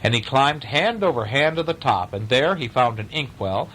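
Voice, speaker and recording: A man's voice: mid-range voice, expressive, normal speed, a bit bright, a little hiss or hum, low-quality recording. A man telling a bedtime story aloud, with chatty, questioning asides as if to a child. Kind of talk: animated bedtime story reading with casual asides.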